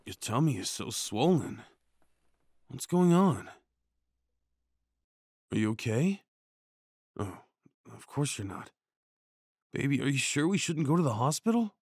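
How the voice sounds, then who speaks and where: A man performing an ASMR comfort roleplay as a tender, worried boyfriend, speaking in a hushed, soothing way with sighs and shushing. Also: medium pitch, very animated, measured pace, long pauses, breathy edge, no room sound, studio quality.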